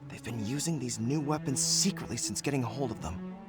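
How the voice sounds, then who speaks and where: An actor playing a loyal, serious young male general who reports in a measured way. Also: mid-range voice, lightly acted. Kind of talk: game voice acting. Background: game music.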